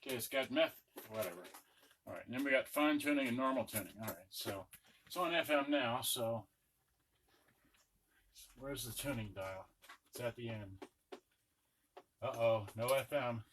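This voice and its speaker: Man muttering through a demo over loud noise. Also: medium pitch, lively intonation, moderate pace, pauses often. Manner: muttering to himself while working. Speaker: electronics unboxing presenter. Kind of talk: casual hands-on demonstration commentary. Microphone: camera on a tripod. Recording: noisy room recording with clicks and handling.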